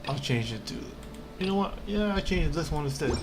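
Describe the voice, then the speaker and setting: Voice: mid-range voice, expressive, brisk, noisy background. A game livestreamer giving casual, offhand live commentary while playing. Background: game music.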